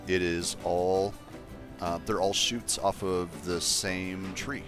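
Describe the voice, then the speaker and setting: A man with a deep voice casually chatting to his viewers as he builds in a game livestream, thinking aloud in an easygoing way. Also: level tone, slow pace, over music. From Colorado, USA. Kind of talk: casual gameplay livestream chat.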